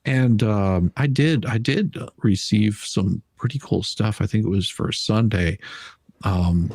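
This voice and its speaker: Husky, low-voiced man chatting on stream. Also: a little mellow, dry recording. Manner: halting, repeats and restarts words. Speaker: livestream host. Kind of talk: casual live-stream chat.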